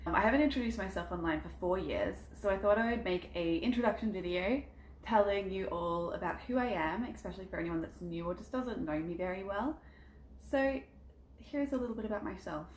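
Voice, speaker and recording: A woman's voice: mid-range voice, fairly quick, a little hiss or hum. A woman around thirty, a psychic content creator, introducing herself in a chatty, earnest vlog and telling stories about her family and spirituality, with frequent ums. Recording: roomy echo with faint background ambience.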